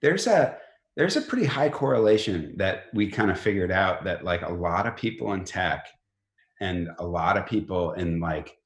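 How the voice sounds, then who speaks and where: A middle-aged man talking casually as an interview guest, informal and halting, often rephrasing himself as he goes. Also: deep voice, lively intonation, roomy sound. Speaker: company chief executive, podcast guest.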